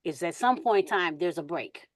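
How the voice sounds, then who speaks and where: A woman's voice: fairly low pitch, expressive, normal speed, a little hiss or hum. A woman hosting a casual online group discussion in an informal, colloquial way.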